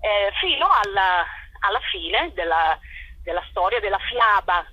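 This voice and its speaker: A woman's voice: mid-range voice, animated, measured pace, slightly rough, dry recording, dull audio. A woman guest on a radio interview by telephone, explaining and retelling a story conversationally. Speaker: actress and playwright guest.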